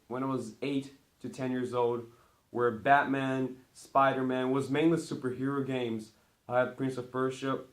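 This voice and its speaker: A teenage boy from Brazil who grew up speaking Portuguese talks casually to his camera. He rambles cheerfully, his voice at an everyday pitch, and he gets louder and brighter each time he starts a new numbered item. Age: teens. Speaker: vlogger.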